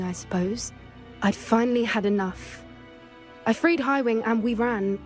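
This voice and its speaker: A woman voicing a kind, earnest teenage witch in a video game, with a British accent and a gentle, confiding manner. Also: fairly high pitch, natural voice. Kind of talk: video game cutscene acting.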